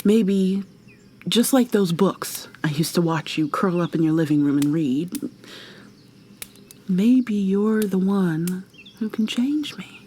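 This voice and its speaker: A woman playing an obsessive, coldly possessive killer in an intimate ASMR roleplay, soft and often whispery, with a deliberate, mock-sweet, threatening manner. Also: on the low side, animated, a little hiss or hum. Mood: possessive threat. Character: obsessive, menacing female serial killer lover, coldly possessive.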